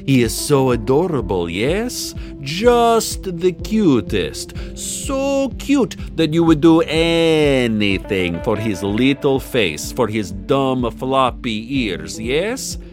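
A man's voice: mid-range voice, sing-song, slow pace, hardly any pauses, voice pushed up. A man voicing a spectral ghost woman who speaks formally and without contractions, full of dread. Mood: eerie. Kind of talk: radio drama. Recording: guitar music behind.

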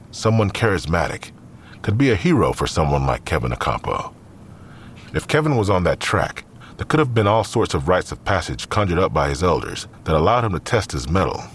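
Serious male narrator for crime fiction: very low register, lively intonation, faint rumble. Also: moderate pace. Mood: serious, narrating. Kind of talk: scripted crime story narration.

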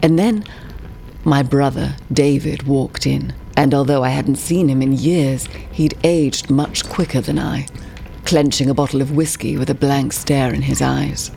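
An actress playing a composed, haunted woman narrating her family past in an audio drama, in measured, literary, portentous phrases. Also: extremely low pitch, steady tone, creaky, warm tone, slight background noise, exaggerated voice, rough recording. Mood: ominous. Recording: prominent music bed under voice.

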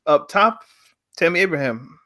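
A man's voice: a little high, lively intonation, moderate pace, pushed and bright. A man co-hosting a casual football chat, laid-back and joking, reading out listener questions and laughing often. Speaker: football podcast co-host.

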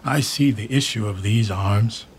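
An actor playing a weary royal uncle in a Shakespeare radio drama, speaking verse in a measured, declamatory way. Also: male voice, fairly low pitch, a little hiss or hum, natural voice. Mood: resigned. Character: aging royal uncle, weary and torn, reluctantly neutral. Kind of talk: Shakespearean stage acting for radio.

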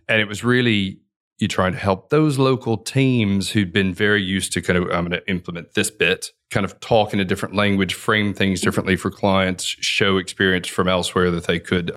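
A man with a very deep voice talks things through slowly and thoughtfully, circling back and restarting his sentences as he goes. He sounds like a guest on a conversational podcast, telling stories about his work. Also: a bit bright. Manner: reflective, rambling with frequent restarts and fillers. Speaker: interview guest, consultant.

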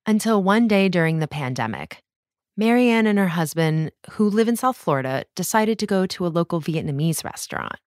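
A woman's voice: lowish voice, expressive, a little fast, a little mellow, no echo, clean studio sound. A woman hosting, reading scripted narration for a news podcast. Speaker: podcast host.

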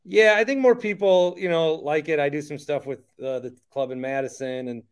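Chatty podcast host, man with a higher voice. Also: moderate pace, clear, resonant tone. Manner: chatty and emphatic, steering the guest. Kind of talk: casual interview chat.

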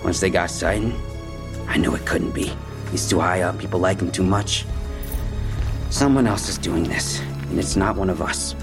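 A man's voice: low voice, normal speed. An actor in an audio drama playing a defensive young male street robber, talking in clipped slang. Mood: wary, insistent. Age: young adult. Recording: music bed behind the voice.

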